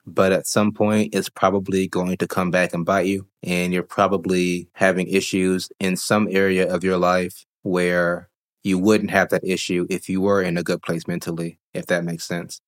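A man hosting a solo podcast, talking calmly and reflectively while getting over a cold. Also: deep voice, moderate pace. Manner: calm, reflective, thinks aloud with fillers. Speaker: podcast host.

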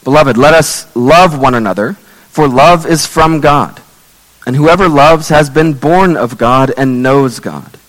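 Male pastor, hoarse, losing his voice. Earnest, measured sermon delivery with a long hall reverb. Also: mid-range voice, fairly bright tone. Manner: earnest, measured preaching with scripture readings.

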